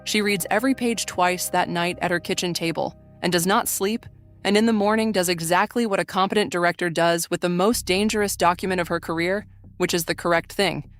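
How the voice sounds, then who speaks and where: A woman giving solemn, measured, literary narration for a scripted audio drama. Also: fairly low pitch, fairly even intonation, faint music behind.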